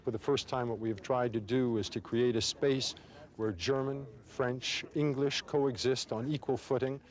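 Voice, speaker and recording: A man giving a measured, earnest interview statement. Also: mid-range voice, fairly even intonation.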